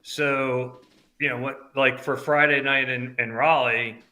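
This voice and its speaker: A man in his fifties from upstate New York, chatting casually as a podcast guest in a loud voice that projects. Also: medium pitch, fairly even intonation, unhurried. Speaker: guest, concert fan.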